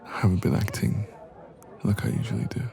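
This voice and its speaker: Male actor as insecure young man in ASMR roleplay: very deep, mellow, hesitant delivery. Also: steady tone, gravelly, some chatter behind. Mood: downcast, vulnerable. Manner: hesitant, soft-spoken, trailing pauses. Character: insecure, anxious young man confiding in a friend. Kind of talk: intimate ASMR audio roleplay.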